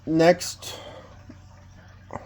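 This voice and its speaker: A man giving a casual, unscripted home video tour as a hobbyist, speaking plainly and haltingly with pauses mid-sentence. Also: a little mellow. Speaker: hobbyist vlogger showing a collection. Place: indoors at home, walking between rooms.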